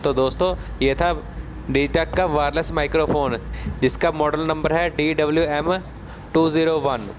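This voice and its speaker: Male tech presenter, slightly high pitch, casual step-by-step explainer delivery, faint street noise in the background. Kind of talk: casual product unboxing narration.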